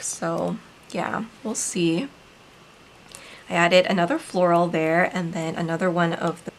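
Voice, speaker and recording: A woman from the Philippines casually narrating as she decorates a planner, chatty and relaxed, with frequent ums. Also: lowish voice, gravelly, a little hiss or hum. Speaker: planner vlogger and shop owner.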